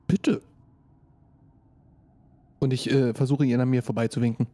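Mellow, husky male role-player. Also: mid-range voice, little pitch movement, unhurried, no room sound. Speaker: player in tabletop role-play. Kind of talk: improvised in-character play and casual chat.

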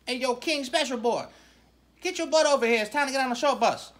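A woman doing an improvised comedy skit with plush toys in a living room, with lively, loud comic banter. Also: high-pitched, a little fast. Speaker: puppeteer voicing plush toys.

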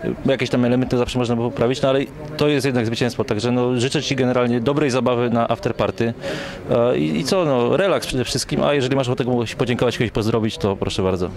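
A man's voice: mid-range voice, steady tone, quick pace. A young man interviewing a fighter with a handheld mic, asking fluent, leading questions. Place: event hall before a sponsor wall, in doors. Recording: crowd noise behind the voice.

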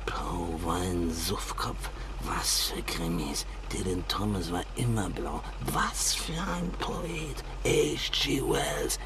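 An actor playing a boastful, tipsy, frustrated would-be writer in a radio play, rambling and grumbling. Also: male voice, mid-range voice, noisy background, heavily acted. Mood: drunken musing. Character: boozy, boastful, frustrated male would-be crime novelist. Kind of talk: German radio play acting.

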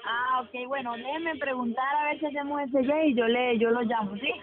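A woman's voice: high-pitched, a little fast, music in the background. A woman answering a customer service phone call as a transport agent.

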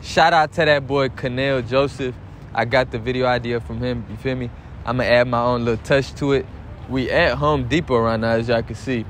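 A young American man hosts a prank vlog in loose, slangy talk. His pitch is average, and there is some noise around him as he records on location. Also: steady tone, moderate pace. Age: young adult. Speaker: prankster host of a video channel. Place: hardware store and its car park.

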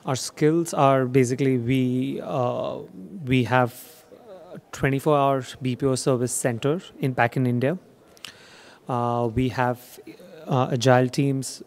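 A man's voice: mid-range voice, steady tone, measured pace, a little mellow. A young man presenting his company at a trade show demo theater, hesitant with many fillers. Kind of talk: trade show sales pitch. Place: dark expo demo theater.

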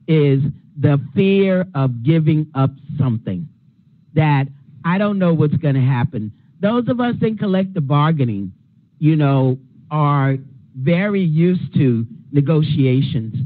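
A middle-aged woman with a big, forceful voice, answering as a panelist from a union background. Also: low-pitched, no room sound. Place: conference room with a panel table. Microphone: handheld mic. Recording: tinny phone-like sound.

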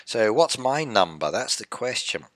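A man's voice: medium pitch, sing-song, unhurried, a bit bright, no echo. A middle-aged man chatting casually on a game live stream, playfully reading and answering chat. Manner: chatty, playful, reads chat aloud and teases viewers. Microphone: headset with desk mic.

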